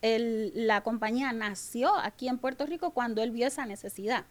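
A woman's voice: on the high side, moderate pace. A woman with a Venezuelan accent speaking as an interview guest, telling personal stories conversationally and acting out remembered dialogue. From Maracaibo, Venezuela. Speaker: podcast guest, business owner.

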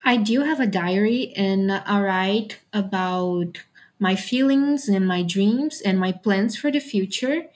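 Teenage girl, from Brazil, earnest, measured, guest. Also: mid-range voice. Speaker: podcast guest. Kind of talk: interview answers.

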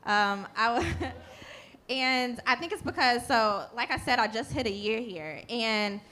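A woman's voice: on the high side, expressive, slow pace, pushed and bright. Chatty woman from North Carolina. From Charlotte, North Carolina. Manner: casual, chatty, many fillers. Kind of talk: panel discussion.

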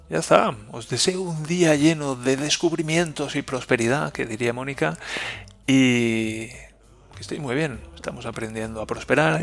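A man with a Spain Spanish accent hosting a reflective personal podcast, thinking aloud with pauses and restarts. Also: medium pitch, gravelly, fairly bright tone. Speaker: podcast host.

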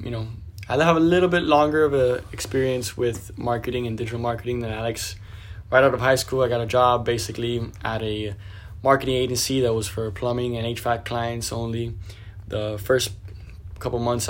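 A man's voice: medium pitch, steady tone, fairly quick. A young man co-hosting a podcast, laid-back and confident, talking in long run-on sentences. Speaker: podcast co-host. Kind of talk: casual podcast chat.

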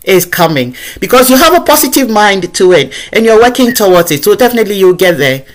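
A woman's voice: mid-range voice, sing-song, fairly quick, slight background noise. A woman hosting an interview podcast, encouraging and affirming her guest. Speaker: podcast host. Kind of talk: conversational interview.

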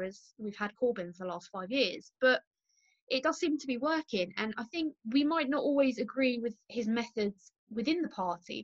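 A British woman hosting a political podcast discussion, recorded at home. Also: a little high. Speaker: podcast host. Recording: muffled, roomy home recording.

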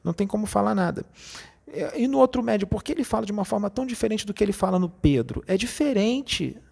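A man's voice: high voice, expressive, fast talker, gravelly, a little mellow, slightly rough. A man, a spiritist medium, explaining spiritual matters in a casual talk and often checking "entendeu?".

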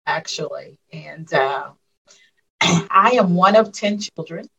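Husky-voiced woman in her fifties. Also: low voice, slow, poor audio. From Arkansas. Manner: warm, reflective storyteller with frequent fillers. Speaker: school superintendent, guest. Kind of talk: casual interview over a call.

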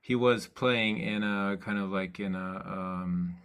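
A man hosting a casual podcast chat, speaking haltingly and restarting his sentences. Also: low voice, fairly even intonation, fairly bright tone, clean tone. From Germany. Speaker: host.

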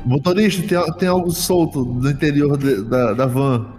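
A man's voice: mid-range voice, moderate pace, darkish tone. A man with a Brazilian accent, chatting casually in character as a player in a tabletop game. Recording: music behind the voice.

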